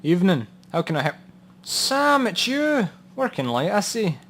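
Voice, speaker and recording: A man's voice: sits high, sing-song, a little slow, a bit bright, exaggerated voice. A man playing a matter-of-fact, grimly wry police contact in a noir radio drama, speaking bluntly and dryly. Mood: friendly surprise. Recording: audible background noise and ambience.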